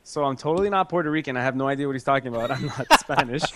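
A man's voice: medium pitch, racing, very noisy. A young man from Canada hosting a gaming podcast in casual, joking chat, swearing and laughing often. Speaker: podcast host.

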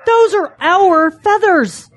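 Audio drama hen: hot-tempered and sarcastic, very high, swooping delivery. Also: female voice, lively intonation, heavily acted. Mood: sarcastic, indignant. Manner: exasperated, sarcastic, drawn-out delivery. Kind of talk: children's animal audio drama acting.

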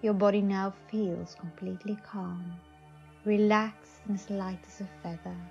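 A woman leading a guided meditation in a soothing manner, speaking in short phrases with long pauses. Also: mid-range voice, level tone, creaky, a little mellow. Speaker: psychic advisor, meditation guide. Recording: slightly dull audio. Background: faint music.

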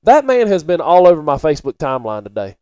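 A man's voice: a little high, huge pitch swings, fast talker, dark tone, clean tone, no echo, studio quality. A middle-aged man, co-hosting a casual podcast about sports and local news. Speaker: podcast co-host.

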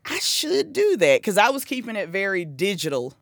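A man's voice: sits high, wildly swooping pitch, normal speed, gravelly, a bit bright, dry recording, clean studio sound. A man from South Carolina with a Southern US accent, hosting a casual, agreeable chat and often chuckling. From South Carolina, United States. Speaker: podcast host.

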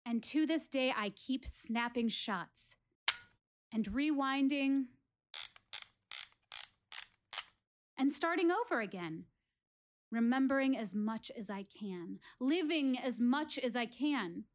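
A high, expressive voice of an actress playing a reflective woman alone on stage. She confides in her audience as she ponders life, wistful and deliberate in a theatrical audio play. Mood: reflective, earnest. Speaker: actress in a one-woman show. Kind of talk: theatrical dramatic monologue addressed to an audience.

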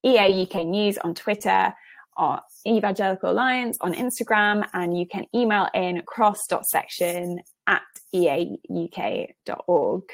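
British woman podcast host, mid-pitched, friendly, easygoing link-reader. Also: a little slow, gravelly. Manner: friendly, easygoing hand-offs to guests. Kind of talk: casual podcast hosting.

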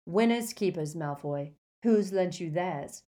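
A woman voicing a bold, quick-witted teenage schoolboy hero in an audiobook, wry and challenging. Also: lowish voice, lively intonation, dark tone. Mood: breathless, defiant. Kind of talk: audiobook character voice.

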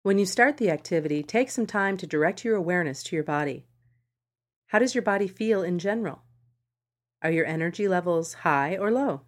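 A woman podcast host reading scripted meditation guidance in a measured, instructional manner. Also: on the low side. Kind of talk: scripted narration of a meditation article.

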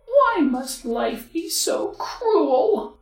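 A woman's voice: high voice, sing-song, drawn-out words, roomy sound, exaggerated voice. A woman voicing a tiny, timid, forlorn little girl with a plaintive, melodramatic manner for a storybook tale. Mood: miserable.

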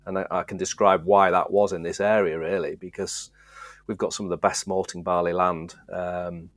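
Middle-aged guest, very low voice, dry close sound, loose rambling delivery. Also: male voice, little pitch movement, normal speed, no room sound. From Yorkshire, England. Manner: easygoing, rambling, frequent restarts. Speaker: guest, distillery co-founder. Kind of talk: casual interview chat.

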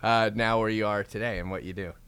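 Bright, clear-voiced man hosting a chat. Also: fairly low pitch, a little fast, no room sound. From Florida. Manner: chatty, laughs often, animated storytelling. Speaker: podcast host. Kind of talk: casual interview chat.

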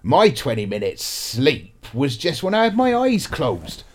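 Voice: medium pitch, wildly swooping pitch, a little slow. A performer playing a tired ambulance worker who moans competitively in a comedy sketch. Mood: weary, one-upping.

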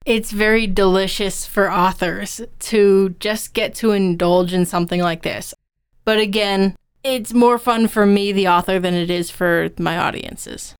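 A woman's voice: mid-range voice, steady tone, measured pace, clean tone. A woman with a strikingly clean, resonant voice co-hosts a podcast. She talks conversationally, explaining her points in a middling register for a woman. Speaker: podcast co-host. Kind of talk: conversational podcast discussion of writing craft.

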